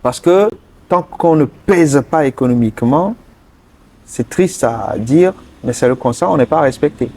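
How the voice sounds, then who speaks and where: A man from Togo, answering interview questions earnestly and pausing often mid-sentence to find his words. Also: a little high, expressive, a little mellow. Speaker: interview guest, politician.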